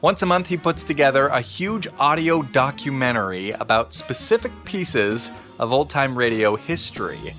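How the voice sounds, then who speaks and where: A man's voice: mid-range voice, lively intonation, normal speed, few pauses, a bit bright, faint music behind. A man giving a scripted introduction as host of a podcast.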